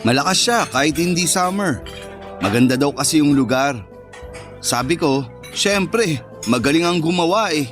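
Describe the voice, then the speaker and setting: A man's voice: on the high side, expressive, normal speed, long pauses, a bit bright. A man playing an eager, boastful thirty-year-old heir, coaxing and sweet-talking in Filipino radio romance style. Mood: casual, attentive. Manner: cocky, coaxing. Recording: background music under the voice.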